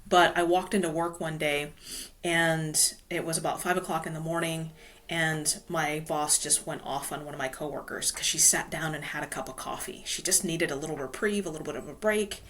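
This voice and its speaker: A woman's voice: deep voice, fairly even intonation, normal speed, a bit bright. A woman from Hawaii, a guest author telling her story in a casual podcast interview, filling her talk with "you know". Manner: chatty, many "you know" fillers.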